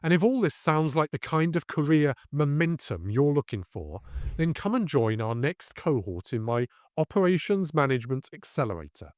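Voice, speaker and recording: A man presents scripted career coaching with a lively, varied pitch. He lands short phrases and lists deliberately and with emphasis. The sound is dry, with very little room to it. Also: no room sound.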